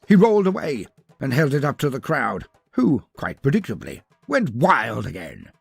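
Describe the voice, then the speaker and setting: A man delivering dramatic scripted narration of a fantasy battle story, theatrical, with deliberate pauses and emphasis. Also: medium pitch, huge pitch swings, gravelly, slightly rough, dry recording, faint music behind.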